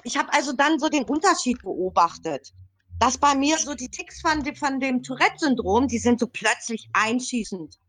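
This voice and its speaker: A woman in her early fifties speaks as a podcast guest in a slightly high voice. She tells her own experience casually and explains it step by step. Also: animated, normal speed, fairly bright tone. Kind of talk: casual personal account.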